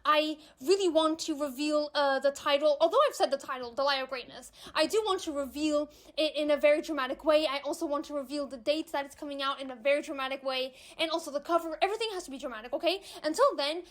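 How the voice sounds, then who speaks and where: Chatty high-voiced young woman vlogger. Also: quick pace, hardly any pauses, a bit bright. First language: Portuguese. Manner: chatty, candid, emphatic with frequent "you know" fillers. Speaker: vlogger, aspiring author. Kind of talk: casual chat to camera.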